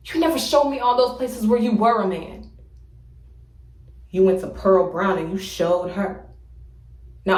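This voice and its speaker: Casting: actress as hurt, jilted woman, higher voice, pleading and accusing stage monologue, roomy sound. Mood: bitter. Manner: pleading, accusing, insistent repetition. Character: wounded woman confronting the lover who left her.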